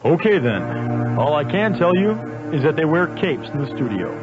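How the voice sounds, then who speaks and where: A man reading radio promos as an announcer, with wry, deadpan humour. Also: a little high, moderate pace. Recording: dull radio recording with music.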